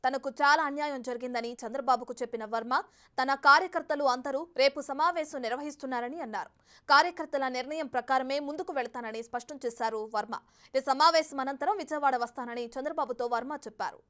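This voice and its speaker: A woman with a high-pitched voice works as a TV news anchor. She delivers bulletins and live questions in a broadcast news register. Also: brisk, a bit bright.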